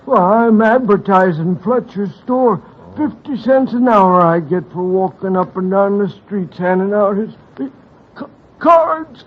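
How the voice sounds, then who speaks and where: Husky, congested, meek down-and-outer. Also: male voice, high-pitched, lively intonation, heavily acted. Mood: plaintive. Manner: halting, humble, stammering. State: has a bad cold. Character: meek, down-on-his-luck sick man in Santa suit. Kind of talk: vintage radio drama acting. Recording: thin, phone-like vintage radio recording.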